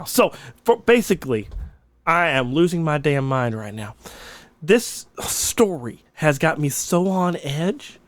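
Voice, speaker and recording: A man's voice: a little high, no echo. A man in his thirties co-hosting a comedy podcast in a hammy put-on mock Russian accent, dropping little words as he jokes. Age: 30s. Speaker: podcast co-host.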